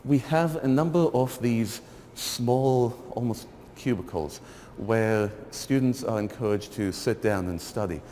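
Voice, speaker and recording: A man's voice: mid-range voice, measured pace, mellow. A middle-aged man, a lecturer, giving a wry, critical walking tour of a building, recorded by a camera at a distance in hallways and an empty lecture hall. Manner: dry, wry critical commentary. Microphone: far camera. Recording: reverberant hall, faint room noise.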